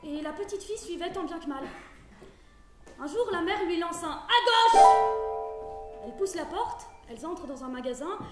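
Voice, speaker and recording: A woman on a theatre stage, telling a dream story in a vivid, dramatic monologue and acting out the commands she shouts. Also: very high voice, animated, moderate pace, pauses often. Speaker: stage performer. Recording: echoey stage with loud music. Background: loud music.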